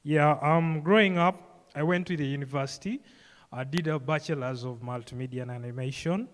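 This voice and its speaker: A man's voice: on the high side, normal speed. A young man with a Ugandan accent giving an informal personal speech to an audience, telling his story conversationally. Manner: conversational storytelling with frequent "you know" and "like". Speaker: programme graduate giving a speech. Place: event hall, live on stage. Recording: reverberant hall sound.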